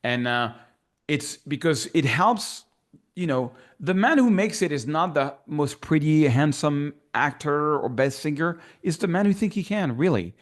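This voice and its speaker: A man's voice: on the high side, expressive, measured pace. A middle-aged man with a French accent, a guest in a casual interview, talking in an enthusiastic, rambling way full of fillers and restarts. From France. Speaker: guest photographer.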